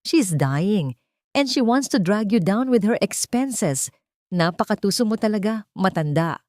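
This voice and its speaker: A woman's voice: medium pitch, animated, unhurried, darkish tone, dry recording, pitched above the performer's own voice, lightly acted. Woman narrator as venomous young fiancee, haughty, sneering, mixed-in English, storybook drama, close dry sound. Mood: mocking. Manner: sneering, scornful, mixes English into speech.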